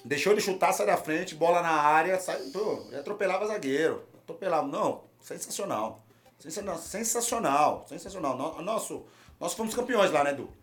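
A man with a Brazilian accent, a retired footballer, telling animated stories in a casual chat and repeating his phrases. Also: fairly high pitch, a little fast. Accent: Brazilian Portuguese.

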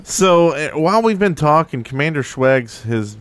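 A man's voice: a little high, lively intonation, normal speed. A man chatting casually as a podcast co-host. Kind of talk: casual chat.

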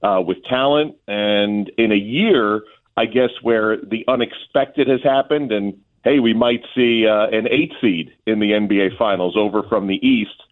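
A man's voice: lowish voice, unhurried. A man guesting as a play-by-play announcer, giving casual sports analysis over a narrow, phone-like connection. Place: remote link from an arena. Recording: narrow tinny phone-like line.

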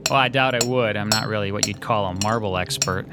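A man's voice: on the low side, a little fast, very noisy, natural voice. An actor playing an uneasy male artist who narrates his own story in a radio drama, hesitant and polite. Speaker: narrator and lead character.

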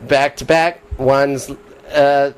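A man's voice: medium pitch, flat delivery, slow, a loud crowd. A man hosting a comedy podcast, joking and playfully bossy in casual banter. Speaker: podcast host.

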